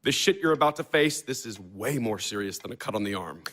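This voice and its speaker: A man's voice: fairly low pitch, lively intonation, brisk, a bit bright. An actor with an American accent playing a blunt, contemptuous, take-charge man who lectures sardonically in an audio drama. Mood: blunt, urgent. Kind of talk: naturalistic audio drama acting.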